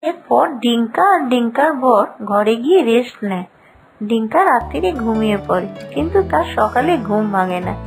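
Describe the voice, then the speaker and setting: A woman's voice: fairly high pitch, lively intonation, moderate pace, vocal fry, soft background music. A woman narrator reading a scripted fairy tale.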